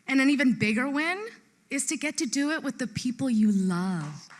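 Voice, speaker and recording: A woman's voice: high-pitched, lively intonation, normal speed. A woman giving a heartfelt acceptance speech as an award winner, stretching out her words for emphasis.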